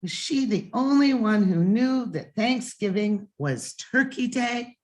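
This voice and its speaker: A woman reading a children's bedtime story aloud in an expressive, emphatic way with deliberate pauses. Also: fairly high pitch.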